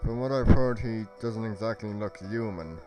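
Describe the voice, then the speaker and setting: A man playing an earnest young fantasy adventurer in an amateur roleplay, with a solemn, deliberate delivery. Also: fairly low pitch, over music, lightly acted, poor audio. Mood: solemn explaining.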